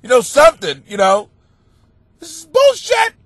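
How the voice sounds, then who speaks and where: A man with a blocked, stuffy nose rants like a comic on his own podcast, cursing freely and bursting into shouts. His voice sits high and leaps all over the place. The sound is close and dry, with no room around it. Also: moderate pace, no room sound. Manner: animated, swearing, bursts into shouting. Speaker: stand-up comic and podcast host. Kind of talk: profane comedic rant monologue.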